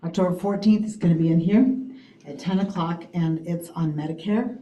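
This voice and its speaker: Woman, low warm voice, loud, chatty, committee meeting update, faint background noise. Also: moderate pace, roomy sound, poor audio. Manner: loud, chatty, laughs often. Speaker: committee member organizing senior events. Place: city hall meeting room.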